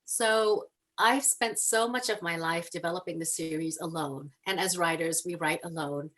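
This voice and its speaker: A woman's voice: fairly low pitch, moderate pace, a bit bright. A woman from Hong Kong, a guest author, telling her story in casual chat over a video call with earnest animation and many ums.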